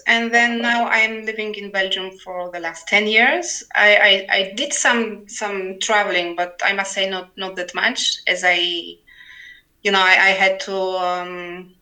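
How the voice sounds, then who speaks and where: A woman's voice: medium pitch, pushed and bright, poor audio. A woman, talking conversationally and with wry humor as an interview guest. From Poland. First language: Polish. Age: 40s. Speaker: podcast guest, writer. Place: remote internet call.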